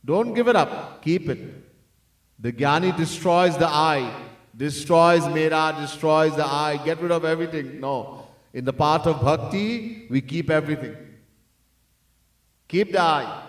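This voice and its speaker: A man's voice: a little high, unhurried. A man teaching as a swami in a devotional discourse, earnestly repeating his key points and at times chanting verses in song. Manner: breaks into sung chanting of verses. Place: temple hall. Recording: echoey room, mic set far off.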